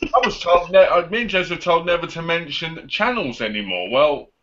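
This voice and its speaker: A man's voice: fairly high pitch, animated, moderate pace, over a noisy crowd. A man in his twenties hosting a casual live online chat show. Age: 20s. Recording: dull lo-fi online call audio.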